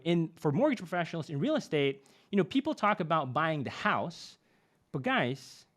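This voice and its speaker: Animated young man explaining marketing. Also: fairly high pitch. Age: young adult. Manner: animated, many fillers and tag "right?". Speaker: podcast guest, marketing expert. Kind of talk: conversational explaining.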